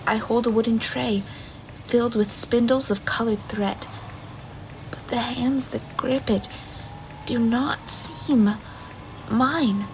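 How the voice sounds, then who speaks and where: Audio drama lead: bewildered woman in her twenties, unhurried, earnest, faint ambient noise behind. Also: on the high side, rough recording. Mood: haunted, hushed. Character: bewildered, earnest young woman trapped in a stranger's body. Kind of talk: audio drama acting with first-person narration.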